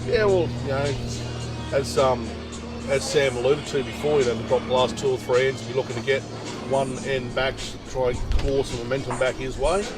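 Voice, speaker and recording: A man giving relaxed, chatty lawn bowls commentary, full of fillers and asides. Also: mid-range voice, moderate pace, loud music behind the voice. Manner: easygoing, chatty, many fillers. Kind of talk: casual sports commentary.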